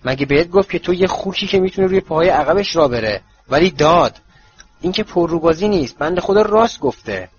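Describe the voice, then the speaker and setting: A man's voice: mid-range voice, fairly even intonation, hardly any pauses, pushed and bright, lo-fi sound, close to an everyday voice. A man voicing a defiant, sarcastic teenage boy wizard. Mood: mocking. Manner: mocking, sarcastic.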